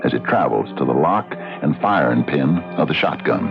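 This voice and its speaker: An actor playing a devout, cryptic frontier detective in a radio mystery drama, slow and solemn with a deep gravelly voice. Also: male voice, expressive, exaggerated voice. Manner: slow, solemn, scripture-quoting. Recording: dull lo-fi radio recording with faint music.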